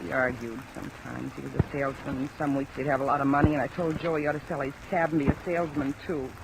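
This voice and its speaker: A woman's voice: a bass voice, little pitch movement, fast talker, vocal fry. An actress playing an anxious, plain-spoken working-class mother, defensive and frightened, in 1950s radio drama style. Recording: old radio recording under heavy rain noise.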